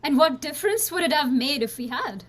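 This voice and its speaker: A woman's voice: sits high, expressive, a little fast. A woman playing an earnest, candid young niece of a noble family in a radio play.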